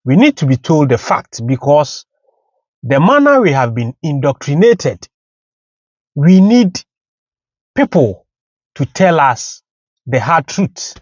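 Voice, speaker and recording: A man from Ghana with a Ghanaian accent giving unscripted commentary in halting, deliberate short phrases. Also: fairly high pitch, very animated, darkish tone, dry recording, dull audio. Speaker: channel host and commentator.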